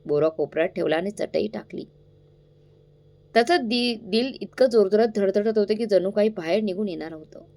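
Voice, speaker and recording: A woman's voice: mid-range voice, vocal fry, darkish tone. A woman narrating a scripted story in a dramatic, suspenseful way, addressing listeners directly.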